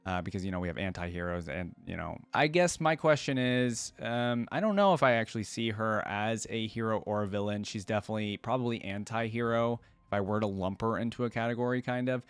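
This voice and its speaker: Guy doing casual reaction commentary, lowish smooth voice, kinda rambly and thinking out loud, dry close sound. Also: a little fast, hardly any pauses, no echo. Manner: rambling, thinking aloud, conversational. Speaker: YouTube reaction host.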